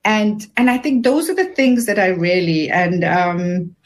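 A woman's voice: mid-range voice, lively intonation, unhurried. Earnest woman in her fifties, reflecting aloud. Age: 50s. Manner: earnest, searching, with many restarts; describes herself as having a loud voice. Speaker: author and guest. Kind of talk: reflective interview answers.

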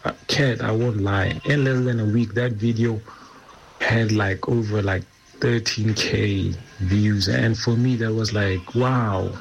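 A man, hoarse and raspy from the flu, chatting casually as a radio co-host. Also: lowish voice, fairly even intonation, slow pace, faint music behind, poor audio. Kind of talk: casual chat.

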